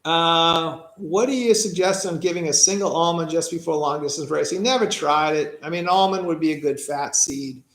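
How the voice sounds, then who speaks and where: Gravelly Boston man, echoey room. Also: fairly high pitch, moderate pace, few pauses, a bit bright. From Massachusetts, USA. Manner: reads questions aloud and answers off the cuff, with fillers and restarts. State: tired. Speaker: pigeon racer answering viewer questions. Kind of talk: casual live-stream Q&A.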